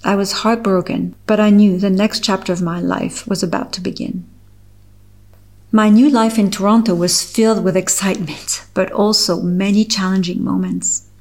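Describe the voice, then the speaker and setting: A middle-aged woman with a French accent, a French native speaker from Switzerland, reading her own personal essay aloud in a slow, measured, earnest way. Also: mid-range voice, fairly even intonation, a little mellow. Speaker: author reading her article.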